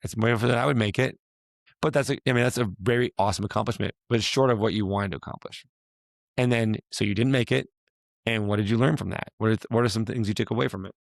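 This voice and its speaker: A man hosting a casual talk, his voice hoarse and raspy from a week of yelling, rambling and restarting as he asks questions. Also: lowish voice, quick pace, no room sound. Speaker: podcast host, fitness coach. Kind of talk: casual chat.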